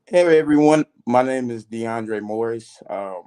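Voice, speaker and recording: A man's voice: medium pitch, a little slow, mellow, smooth. A thirty-year-old man from Houston, Texas, briefly and hesitantly introducing himself as a guest. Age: 30s. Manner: hesitant, restarts.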